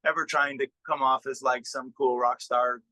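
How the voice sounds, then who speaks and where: A man's voice: medium pitch, fairly even intonation, moderate pace, sharp tone, no echo. A middle-aged man, a musician, chatting casually and informally in an interview in a self-effacing way. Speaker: musician and record producer being interviewed.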